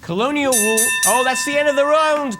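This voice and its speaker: A quiz show host reading out trivia questions in a clear, measured way and calling on players by name. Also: high-pitched, over loud music.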